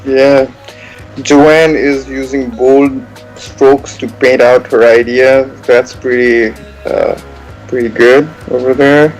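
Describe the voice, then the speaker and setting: A man comments casually and excitedly on painters at work, often saying "like". His voice is in a middle range. Soft music plays under him. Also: medium pitch, slow, rough recording. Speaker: co-host and former contestant. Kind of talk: live online commentary.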